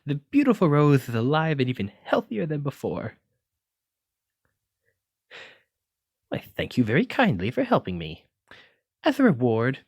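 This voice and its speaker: A man's voice: mid-range voice, slightly rough, dry recording, studio quality, full character voice. A man voicing a clumsy, kindly wizard in an ASMR roleplay, courteous and apologetic, stammering when flustered. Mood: gentle, delighted. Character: clumsy, kindly wizard bookseller teaching magic. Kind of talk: ASMR roleplay addressed to listener.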